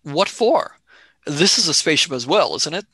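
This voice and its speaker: A woman's voice: deep voice, very animated, normal speed, a bit bright, husky, dry recording, full character voice. A direct young space traveler, acted by a woman in a radio play, recorded dry. The delivery is blunt, suspicious and questioning.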